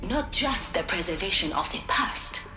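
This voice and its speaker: A woman voicing a dignified, earnest scholar in a video game, giving a measured, solemn formal speech. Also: fairly low pitch, animated, natural voice. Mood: solemn, resolute. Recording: echoing room, music underneath.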